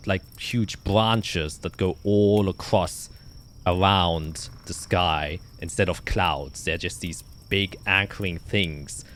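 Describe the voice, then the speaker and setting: A man improvising narration as game master of a tabletop fantasy game, often pausing to search for his words. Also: low-pitched, a bit bright, slight background noise.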